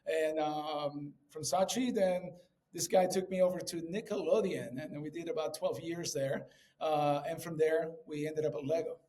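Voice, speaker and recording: A middle-aged man from Colombia telling his career story in a casual interview, animated with frequent fillers. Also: fairly high pitch, normal speed, rough recording. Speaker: podcast guest, creative director.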